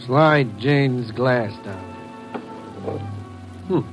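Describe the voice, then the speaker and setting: An actor playing a dry, cynical, heavy-drinking husband in old-time radio drama style. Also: male voice, medium pitch, very slow, soft background music. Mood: dry, sardonic.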